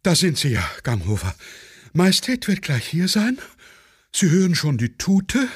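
Ein Mann spielt einen eiligen Flügeladjutanten, der drängend Anweisungen gibt. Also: male voice, high voice, wildly swooping pitch, a little slow, breathy edge, no room sound, clean studio sound, pitched above the performer's own voice, heavily acted. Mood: urgent coaching. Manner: urgent, coaching instructions. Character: fussy imperial aide-de-camp, hurried.

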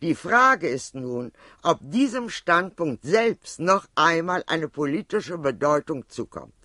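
A man delivering a formal scripted lecture in a measured, precise, academic manner. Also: a little high, very animated, bright, forceful tone, no room sound, studio quality.

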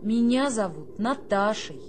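A woman's voice: on the high side, lively intonation, measured pace, a little hiss or hum. An actress playing an affected young soldier who poses as a refined girl, coquettish and haughtily polite, in a theatrical radio play. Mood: grand, self-dramatizing.

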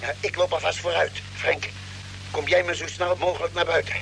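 A noisy old radio drama recording carries a calm, caring ship's doctor on a space expedition. His voice sits a little high for a man, and he sets things out in a measured, deliberate way. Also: gravelly. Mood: calm, brisk. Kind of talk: vintage Dutch radio drama acting.

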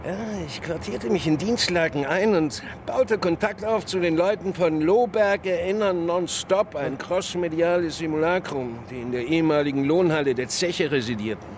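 An actor playing a talkative, obsessive whistleblowing author in a radio drama, insistent, colloquial and sardonic. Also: male voice, on the high side, normal speed, few pauses, slight background noise, lightly acted. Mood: sardonic, confiding.

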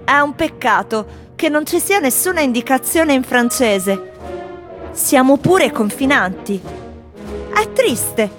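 An actress playing wry, world-weary foreign tourists in a theatrical radio monologue, speaking in delivery. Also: female voice, high-pitched, expressive, slow pace. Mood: aggrieved. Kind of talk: theatrical scripted monologue over music. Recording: music playing behind the voice.

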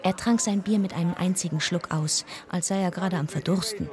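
An actress playing an observant, gently wry woman narrator, telling her travel story in a measured radio-drama style. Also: lowish voice, crowd noise, close to an everyday voice. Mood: watchful. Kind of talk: scripted first-person narration. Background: music.

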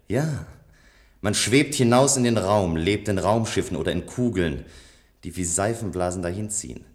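An actor playing a restless, playful man of a future city in a German radio play, with a measured, musing delivery. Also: deep voice, darkish tone.

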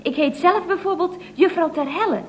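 An actress playing a chatty, feisty single secretary in an old radio comedy, talking in a sing-song way full of tag questions. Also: female voice, very high voice, normal speed. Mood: cheerfully chatty. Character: chatty, indignant single female secretary, feisty and quick-tempered. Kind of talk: 1970s radio comedy acting. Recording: old radio recording with slight noise.

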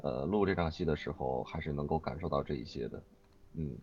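A man answering interview questions casually as a voice actor guest, haltingly and with repeats as he thinks. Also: rumbling low, breathy edge. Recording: dull online call audio, music behind. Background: music.

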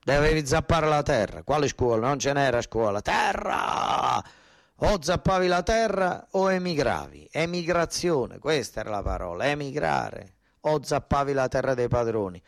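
A man's voice: mid-range voice, animated, normal speed, gravelly, dry recording, studio quality, voice pushed up. Man playing an indignant old southern Italian peasant. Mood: impassioned. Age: older. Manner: coarse dialect, repetitive, exclamatory. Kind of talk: theatrical monologue acting.

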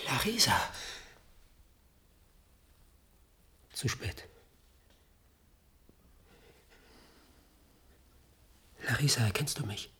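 An actor playing an embittered, defiant scientist in a German radio drama, with a husky, breathy voice and a grave, intense delivery. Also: male voice, mid-range voice, fairly bright tone. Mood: anguished, tender.

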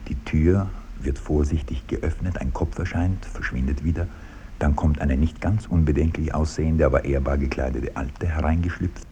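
A man's voice: rumbling low, gravelly, mellow. A man narrating scripted stage directions for a radio drama. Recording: 1960s radio production, faint noise.

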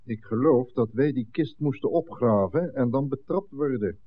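A man's voice: mid-range voice, normal speed, slight background noise, close to an everyday voice. An actor playing a wary, menacing male crook who leads his mates, talking bluntly in a Dutch radio drama on an old narrow-band recording.

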